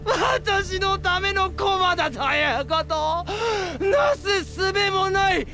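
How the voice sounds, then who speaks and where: A man's voice: very high-pitched, animated, takes it slowly, over music, heavily acted. An actor playing a gloating, megalomaniac scheming villain in an audio drama, theatrical and condescending, drawing out his taunts. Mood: triumphant, mocking. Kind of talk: Japanese audio drama acting.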